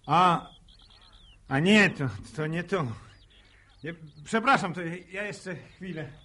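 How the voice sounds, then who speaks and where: An actor playing a shy, hard-working village farmer in a radio drama, plain-spoken and halting, with speech. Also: male voice, high voice, bright, forceful tone, heavily acted. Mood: flustered, hearty. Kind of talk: naturalistic radio drama acting.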